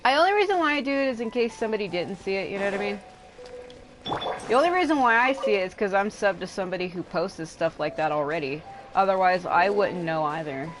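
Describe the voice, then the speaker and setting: A woman's voice: medium pitch, lively intonation, quick pace, soft background music, low-quality recording. A woman chatting casually as a livestreamer, rambling and venting with casual swearing. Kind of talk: casual gaming livestream chat.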